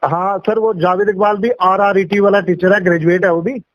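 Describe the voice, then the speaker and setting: A male office functionary explains himself respectfully on a call. His pitch is high for a man. The audio is muffled and low in fidelity, with hardly any room sound. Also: fairly even intonation, moderate pace, dry recording. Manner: deferential, explaining, often says sir. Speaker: education department official. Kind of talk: explanatory phone conversation. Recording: dull lo-fi phone call audio.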